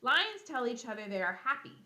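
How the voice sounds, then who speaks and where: Woman, teacher, children's read-aloud, bright voice, expressive and explanatory, echoey room sound. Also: on the high side, a little fast. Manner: expressive, explanatory, thinks aloud for young pupils. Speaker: elementary school teacher.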